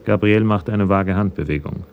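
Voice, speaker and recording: A man's voice: low voice, moderate pace, vocal fry, narrow, tinny audio, a little hiss or hum. A man narrating a scripted radio crime drama in a matter-of-fact manner.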